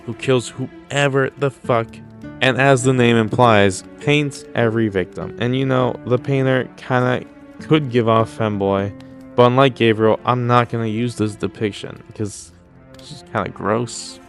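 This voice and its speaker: A man's voice: medium pitch, fairly even intonation, unhurried, soft background music. A man hosting casual comedic video commentary, mock-serious, blunt and crude with plenty of swearing. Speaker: YouTube host.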